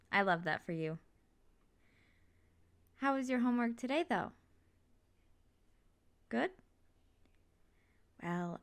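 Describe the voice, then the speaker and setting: A woman playing a supportive, doting mother in an ASMR roleplay, talking in a gentle, reassuring, slightly rambling way. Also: medium pitch. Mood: caring, attentive. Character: supportive, doting, chatty mother comforting her child. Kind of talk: ASMR roleplay, intimate one-sided conversation.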